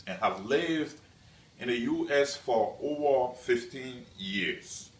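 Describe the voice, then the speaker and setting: A man from Liberia giving a prepared class speech as a student, in a slow, measured delivery with deliberate pauses. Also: mid-range voice, animated. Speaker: student presenter. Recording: echoey room, mic at a distance.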